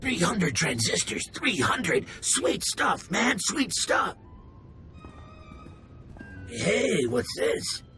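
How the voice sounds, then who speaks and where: Game alien tech junkie, high voice, very lively intonation, cheeky, slangy. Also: male voice, exaggerated voice. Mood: excited, joking. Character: excitable, jokey alien tech-junkie criminal hacker. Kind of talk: game cutscene acting.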